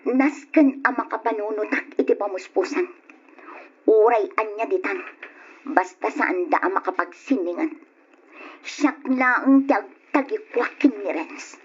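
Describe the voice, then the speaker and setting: A woman's voice: medium pitch, a little slow, slightly rough. A woman with an Ilocano accent acting a jealous, lovesick young student in an old radio drama, brooding with sighs. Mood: anguished, scheming. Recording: muffled radio recording, background hiss.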